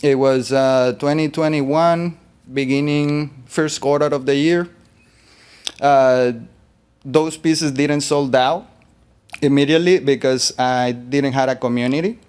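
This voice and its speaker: A man from Colombia, a guest artist in an interview, talking in a relaxed, rambling way with fillers. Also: medium pitch, slow pace, smooth. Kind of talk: interview conversation.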